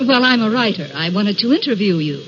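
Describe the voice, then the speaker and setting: A woman's voice: mid-range voice, expressive, brisk, fairly bright tone, a little hiss or hum, lightly acted. An actress playing a curious, self-possessed young woman writer in an old-time radio drama, speaking articulately and theatrically. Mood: polite, curious. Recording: dull vintage radio recording with music.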